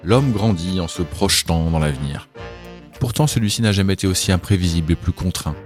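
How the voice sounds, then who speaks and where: A man in his forties hosting a business podcast, reading a measured introduction and then chatting casually with a guest. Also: low voice, over music. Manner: measured, deliberate reading; relaxed and hesitant in conversation. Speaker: podcast host.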